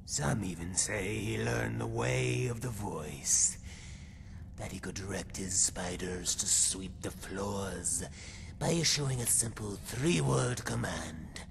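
A man playing a boastful, eccentric Argonian lizard-man in a fantasy video game, with a breathy rasp and a pompous, theatrical storytelling manner. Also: on the low side, slow pace, noisy background, heavily acted. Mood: musing.

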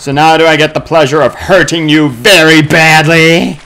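A man doing casual let's play commentary, excitable and exclamatory, with swearing and silly made-up words. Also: fairly high pitch, normal speed. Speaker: gamer playing a first-person shooter. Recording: game gunfire and noise behind voice. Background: video game combat sounds.

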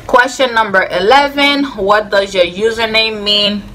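A woman's voice: mid-range voice, fairly even intonation, measured pace, pushed and bright. A young woman in her twenties with a Haitian accent, chatting earnestly to camera in her bedroom with lots of "like" fillers. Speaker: vlogger. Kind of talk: casual chat to camera. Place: bedroom, indoors.

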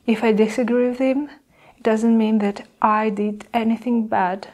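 A young woman playing a guarded, defensive nurse under questioning in an improvised ASMR roleplay, measured and halting as she justifies herself. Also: on the high side, little pitch movement, a little mellow, lightly acted. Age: young adult. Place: small indoor room with curtain backdrop. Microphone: clip-on lapel mic.

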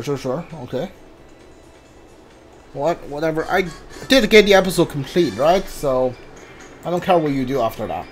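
A man casually commentating on his video game playthrough, haltingly thinking aloud and mumbling as he reads. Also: fairly high pitch.

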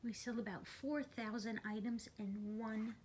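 Higher-voiced woman narrating a casual walk-through. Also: steady tone, unhurried, a little mellow. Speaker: auction house presenter. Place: large furniture warehouse, indoors. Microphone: handheld phone camera, speaker behind it. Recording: handheld phone video, clear warehouse background noise.

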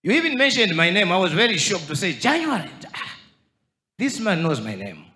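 A middle-aged man from Zambia, speaking into a handheld microphone and slowly and earnestly telling his story in a church. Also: sits high, sing-song, sharp tone, reverberant. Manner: earnest, slow testimony. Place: large church hall with crowd. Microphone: handheld mic.

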